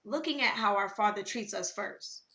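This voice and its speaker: A woman co-hosts a casual podcast chat. Her voice is bright and forward, and she tells personal stories with animated asides and quick laughs. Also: medium pitch. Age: 20s. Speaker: podcast co-host.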